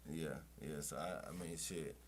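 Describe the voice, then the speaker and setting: A man's voice: very deep voice, steady tone, moderate pace, a little hiss or hum. A man being interviewed as a rapper, talking in a boastful, profane, streetwise way and leaning on "you know what I'm saying" as he talks. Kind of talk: casual interview chat.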